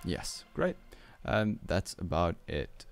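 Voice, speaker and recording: A young man in his twenties from Austria with an Austrian German accent, walking through a music tutorial in a relaxed, hesitant way with many fillers, on a studio mic in a small home studio. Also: deep voice, slow pace, creaky, smooth. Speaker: music producer. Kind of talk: tutorial walkthrough. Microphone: studio mic on boom arm with headphones.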